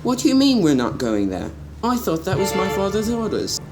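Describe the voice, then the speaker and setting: A man's voice: high voice, huge pitch swings, normal speed, over loud music, heavily acted. A man acting a spoiled, haughty boy prince of about fourteen in a radio drama, whiny and defiant. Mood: alarmed.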